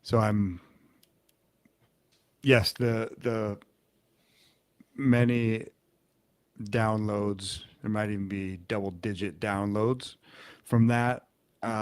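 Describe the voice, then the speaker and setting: A low-voiced man co-hosting a podcast. He talks very slowly and explains at length, stopping and restarting often. The sound is dry, with no room echo. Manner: rambling, halting explanations. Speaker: podcast co-host. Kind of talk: casual chat.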